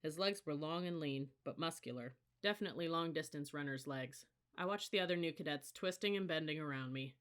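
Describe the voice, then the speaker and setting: Audiobook reader: bright, forceful tone, scripted first-person novel narration. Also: medium pitch, brisk, hardly any pauses.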